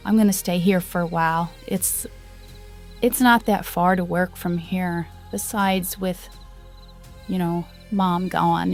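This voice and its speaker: Actress as betrayed woman, creaky voice, unhurried halting speech, audio drama, conversational. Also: on the low side, darkish tone. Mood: weary, resigned. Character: heartbroken betrayed woman retreating to a cabin. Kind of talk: audio roleplay drama, one side of phone call.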